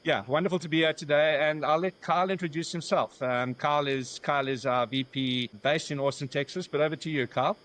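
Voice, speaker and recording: A man with a South African accent, a company founder chatting as a podcast guest in a warm, joking way. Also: a little high, a little fast, few pauses. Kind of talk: casual interview chat.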